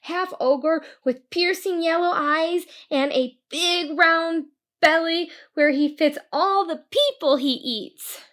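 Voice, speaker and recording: A woman's voice: extremely high pitch, slow pace, fairly bright tone, pitched above the performer's own voice, heavily acted. A woman voicing a frightened young boy on the run, urgent and pleading, in a storybook. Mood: earnest, fearful.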